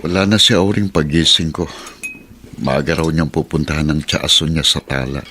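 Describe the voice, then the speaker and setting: A man's voice: very deep voice, normal speed, noisy background. An actor playing an agreeable, hardworking Filipino family man in a radio drama, plain-spoken and earnest. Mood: easygoing.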